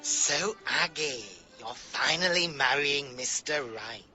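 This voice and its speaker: A man's voice: fairly high pitch, slow, highs cut off, over music. A man playing a camp, catty, melodramatic English best friend in a radio comedy-drama. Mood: teasing.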